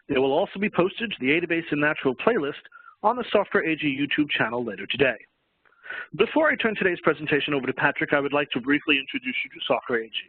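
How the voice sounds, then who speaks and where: Fast, formal webcast moderator man. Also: a little high, breathy edge, no echo. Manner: formal, read from script. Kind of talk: scripted announcement. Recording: tinny phone-line webcast audio.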